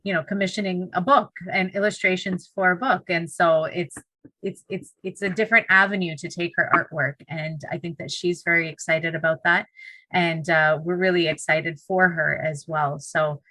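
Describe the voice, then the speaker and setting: A woman's voice: on the low side, steady tone, moderate pace, bright, forceful tone, dry recording, low-quality recording. A woman whose first language is Punjabi, a teacher talking earnestly in a podcast interview, often restarting her sentences. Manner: earnest, with frequent restarts and fillers. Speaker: teacher and co-author.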